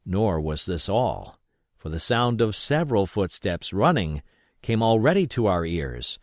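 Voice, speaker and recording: A man reading an adventure novel aloud as expressive scripted narration. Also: fairly low pitch, unhurried, no echo, clean studio sound. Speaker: audiobook reader.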